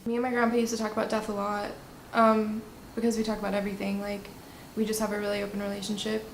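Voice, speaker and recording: A woman's voice: medium pitch, monotone, fast, slight background noise. A twenty-two-year-old woman speaking frankly and casually in a documentary interview. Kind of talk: candid, casual interview talk. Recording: roomy echo with music behind. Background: instrumental music.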